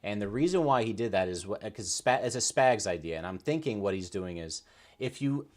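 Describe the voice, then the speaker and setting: A man's voice: on the low side, lively intonation, a little fast. A man on a sports talk show delivering commentary and updates as a panelist.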